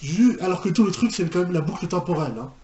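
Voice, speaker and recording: Man, slightly high voice, casual reaction commentary, repetitive hesitant phrasing, echoey room. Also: lively intonation, fast, a little mellow. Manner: hesitant, repetitive, incredulous exclamations. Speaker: reaction video host. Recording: echoey room, faint noise.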